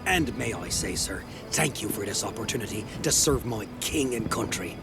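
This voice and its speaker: An actor playing a cocky, wisecracking First World War soldier in a game, with a Newfoundland accent and mocking delivery. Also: male voice, lowish voice, animated, normal speed. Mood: wry. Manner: mocking, sarcastic banter. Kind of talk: dramatic game acting. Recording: music behind the dialogue.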